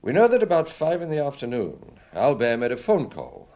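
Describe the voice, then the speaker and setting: An actor playing a shrewd, unflappable police inspector in a radio drama, measured, matter-of-fact and assured. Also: male voice, mid-range voice, huge pitch swings, warm tone. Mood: calm, reasoning.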